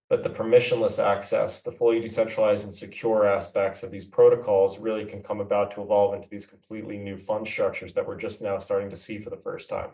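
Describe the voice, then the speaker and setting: A man's voice: on the low side, darkish tone. A man from New York City, a company co-founder, explaining at length in a businesslike podcast interview. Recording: echoey room, far from mic.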